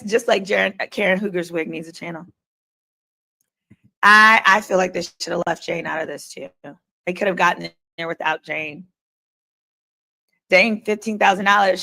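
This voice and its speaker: A woman's voice: fairly low pitch, creaky, bright, forceful tone. A woman hosting a casual livestream, giving informal, opinionated commentary and reading comments and updates aloud in a conversational way. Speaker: livestream host.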